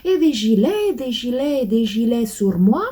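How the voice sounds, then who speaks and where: Une femme qui imite une femme naïve à fort accent portugais, d'une voix haute et chantante. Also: female voice, very animated, normal speed, warm tone, breathy edge. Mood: faussement naïve. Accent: put-on Portuguese. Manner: faux-naive, high and sing-song. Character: naive woman with thick Portuguese accent playing dumb.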